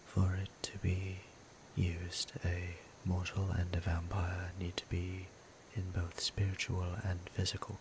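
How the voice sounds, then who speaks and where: Man acting a low, whispery vampire priest. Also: background noise. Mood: earnest, hesitant. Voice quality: soft, breathy near-whisper. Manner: slow, deliberate, formal, soothing. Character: gentle, courteous male vampire priest, caretaker. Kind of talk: intimate ASMR roleplay acting.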